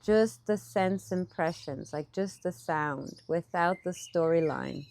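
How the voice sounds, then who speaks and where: A woman leading a guided meditation as a teacher, with gentle, pausing phrasing, speaking outdoors. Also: lowish voice, creaky, a little hiss or hum. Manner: unhurried, gentle phrasing with pauses. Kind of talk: guided meditation, softly instructive and partly improvised. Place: outdoors in a park. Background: birdsong.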